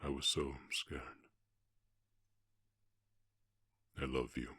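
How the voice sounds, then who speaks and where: A man acting a very deep, halting orc cowboy. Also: little pitch movement, bright, forceful tone, dry recording, clean studio sound, heavily acted. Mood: tender, relieved. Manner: halting, emotional, often dropping to breathy murmurs. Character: gruff lovesick half-orc cowboy, ashamed, protective, tender. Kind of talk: ASMR roleplay voice acting.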